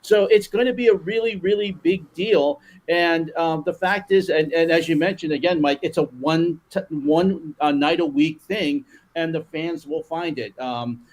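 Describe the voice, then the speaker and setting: A man guesting on a sports podcast talks with eager enthusiasm, often repeating himself and restarting his sentences. His voice sits a little higher than most men's. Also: a little slow, rough recording. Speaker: guest commentator.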